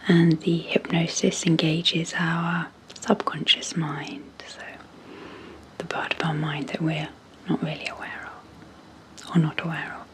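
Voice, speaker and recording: A woman speaking in a breathy half-whisper as an ASMR host, slowly and gently, with long pauses, introducing sleep hypnosis. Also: low voice, completely flat delivery.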